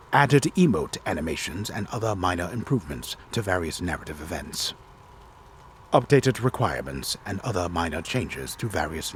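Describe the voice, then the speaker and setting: An actor playing a disembodied storyteller, a man reading game narration in a solemn, deliberate, theatrical way. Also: low voice, a little hiss or hum, full character voice. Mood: grave, theatrical.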